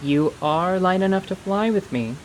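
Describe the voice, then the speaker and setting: A man voicing a gentle, teasing winged woman adventurer with formal wording in a radio play. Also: sits high, expressive, moderate pace, slight background noise, voice pushed up, low-quality recording. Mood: amused. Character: kindly, playful winged woman adventurer, eloquent and caring. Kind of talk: dramatized tabletop radio play.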